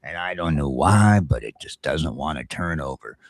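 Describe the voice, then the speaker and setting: Male morning-show host: low voice, groggy and rambling, casual banter, close dry sound. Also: animated, moderate pace, no echo.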